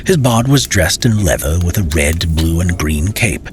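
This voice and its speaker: A man's voice: low-pitched, expressive, normal speed, music in the background. A man narrating a fantasy tale as a storyteller, with dramatic, theatrical and courtly phrasing.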